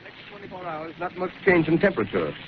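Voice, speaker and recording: An actor playing a radio announcer, reading in a quick, matter-of-fact way. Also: male voice, high-pitched, big pitch swings. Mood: announcing. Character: crisp male radio announcer in a broadcast drama. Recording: tinny noisy 1930s radio broadcast.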